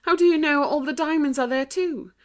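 A woman's voice: sits high, fairly quick, pitched above the performer's own voice, natural voice. A woman voicing an earnest, dramatic, kindly young British girl in a storybook reading, well-spoken and sincere. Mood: dramatic, gloomy.

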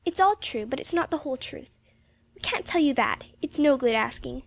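A woman's voice: high voice, expressive, moderate pace, vocal fry, pitched above the performer's own voice, heavily acted. A woman voicing a tearful little girl who pleads breathlessly. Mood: pleading.

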